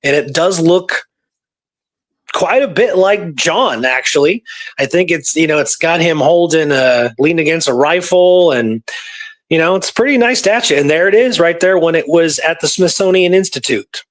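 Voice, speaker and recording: A man with a somewhat high voice chats through historical research. He is informal and conversational, telling it like a story to a friend as a guest researcher. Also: expressive, normal speed. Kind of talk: casual conversational history discussion.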